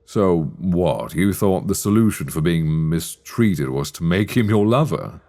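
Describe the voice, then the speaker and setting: Man playing a deep, sly sorcerer schoolmaster. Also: expressive, a little mellow. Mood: puzzled, amused. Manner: theatrical, measured, wry, formal storybook phrasing. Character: sly, teasing magic academy master, mature man, dark fantasy. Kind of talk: intimate ASMR fantasy roleplay.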